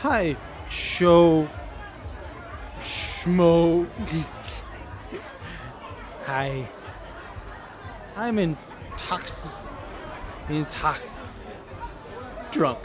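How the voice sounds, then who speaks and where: A man's voice: on the high side, extremely slow, pauses often, a crowd in the background. A man voicing a giddy, slurring drunk young man in an audio drama. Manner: slurred, giggly.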